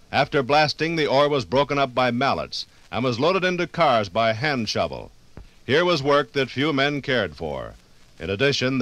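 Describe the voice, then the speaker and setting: A man narrating a scripted 1950s radio documentary in a formal, dramatic, measured way. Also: mid-range voice, fairly bright tone, no room sound.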